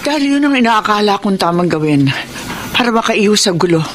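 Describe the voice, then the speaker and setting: A woman playing a middle-aged mother in a Filipino radio drama, confessing secrets in a solemn, deliberate, sorrowful way. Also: medium pitch, expressive, slight background noise, close to an everyday voice. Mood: solemn, confessional. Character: older mother confessing long-kept secrets, firm and sorrowful. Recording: loud dramatic music behind the voice.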